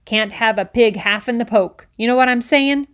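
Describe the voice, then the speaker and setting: A bigoted middle-aged island policeman, put on by a woman reading a storybook aloud, lecturing a boy in rough, ungrammatical speech. He pushes his voice loud and hard. He talks down to the boy. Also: a little high, normal speed, natural voice. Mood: loud, bullying. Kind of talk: audiobook character reading.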